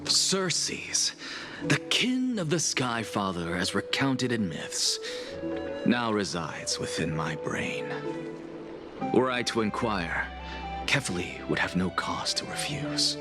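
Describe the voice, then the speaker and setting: Game role: arrogant male professor, low and unhurried, expressive pitch swings, formal disdain, music underneath. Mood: lecturing, scornful. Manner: haughty, measured, lecturing, formal. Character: proud, aloof male scholar, coolly disdainful. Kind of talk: video game voice acting.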